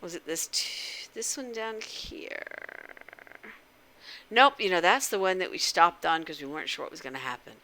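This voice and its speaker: A woman's voice: lowish voice, big pitch swings, normal speed, bright, forceful tone, poor audio. A woman hosting a livestream in casual, informal chat, addressing viewers directly and often asking them questions. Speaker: livestream host. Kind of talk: casual interactive game stream chat.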